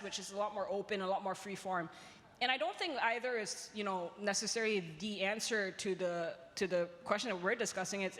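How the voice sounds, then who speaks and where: A woman's voice: medium pitch, a bit bright. Female panelist from Singapore, casual and emphatic storyteller, live hall sound. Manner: conversational, emphatic, frequent "like" and "you know". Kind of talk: casual panel discussion with anecdotes. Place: large echoey event space. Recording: reverberant hall, live event.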